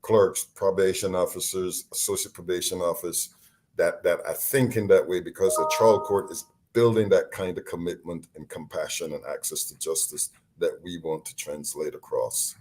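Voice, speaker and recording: Guest: man from Barbados, lowish voice, earnest and animated, remote call line. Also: normal speed, a little hiss or hum. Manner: earnest, animated, frequent restarts. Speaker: deputy court commissioner, guest. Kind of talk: casual interview on a call.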